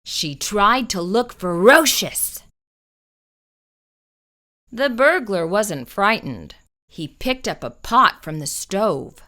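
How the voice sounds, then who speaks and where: A woman reading a children's picture book aloud, slow and expressive. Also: medium pitch. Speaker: children's storyteller.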